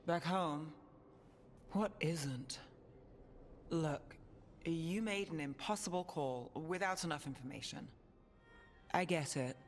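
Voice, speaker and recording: A woman's voice: low-pitched, takes it slowly, pauses often. A woman voicing a guarded, battle-worn but loyal fighter in a video game. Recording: long hall reverb, music behind.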